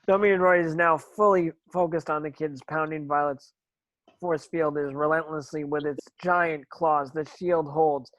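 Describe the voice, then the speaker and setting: Guy reading script action lines, highish voice, dry close sound, trips on words sometimes. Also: fairly even intonation, moderate pace, a bit bright, dry recording, poor audio. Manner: stumbles over words while reading. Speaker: stage directions narrator. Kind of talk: scripted narration of screen action.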